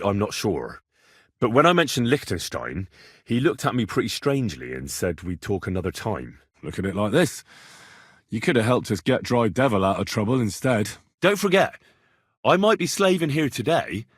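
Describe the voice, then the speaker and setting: A young medieval soldier for a video game, earnest and a little cheeky. His delivery swings freely in pitch, with a husky edge to the voice. The sound is close and dry, and he speaks plainly, as if in conversation. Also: fairly bright tone, no echo, heavily acted. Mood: defensive, spirited. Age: young adult. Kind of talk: video game character dialogue.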